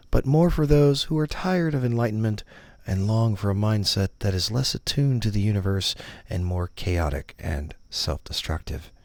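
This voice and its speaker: A man narrating a scripted parody guided meditation, giving instructions in a deadpan, condescending manner. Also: fairly low pitch, no echo.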